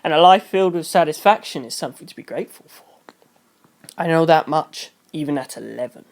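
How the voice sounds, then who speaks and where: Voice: medium pitch, lively intonation, brisk, close to an everyday voice. A narrator voicing an eleven-year-old boy detective in an audiobook, earnest, intense and grandly self-certain, given to pompous speeches. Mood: wistful, jealous.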